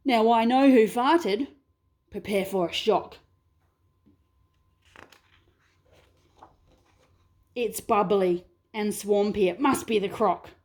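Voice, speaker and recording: A woman's voice: medium pitch, a little slow, a little mellow, close to an everyday voice. A woman voicing a blustering male dingo.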